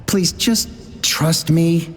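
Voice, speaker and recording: A woman voicing an earnest, weary daughter in a video game, pleading in a halting, emotional way. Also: fairly low pitch. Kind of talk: English game voice acting. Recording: long reverb with music behind.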